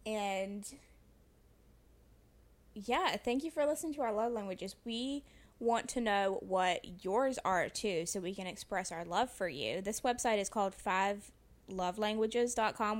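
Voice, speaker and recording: Relaxed, chatty young woman podcast co-host. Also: medium pitch, unhurried. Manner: relaxed, chatty, swears casually. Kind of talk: casual chat.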